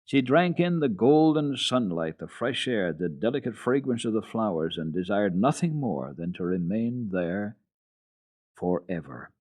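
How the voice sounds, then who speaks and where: Male storyteller for a children's radio hour, fairly low voice, animated dramatic reading with wide melodic range. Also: unhurried.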